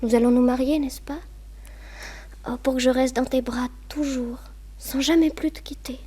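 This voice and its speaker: A trusting young society lady in a radio melodrama. Her voice is fairly high yet warm and mellow. She speaks tenderly and emotionally, as one hopelessly in love. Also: fairly even intonation, moderate pace, slightly rough. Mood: adoring, pleading. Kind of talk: French radio play acting.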